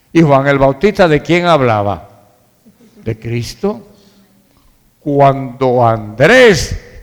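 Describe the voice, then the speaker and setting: Dominican pastor preaching in an echoing hall. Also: male voice, a little high, fairly bright tone. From Dominican Republic. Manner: emphatic, conversational, repeats phrases for emphasis. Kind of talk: sermon. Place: large reverberant room, church service. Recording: hall reverb.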